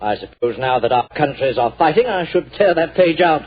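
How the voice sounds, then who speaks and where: A vain, effusive stage actor in 1940s radio acting, with a husky, slightly high voice. His speech is theatrical and gushing. The old broadcast sounds dull and muffled. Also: animated, brisk.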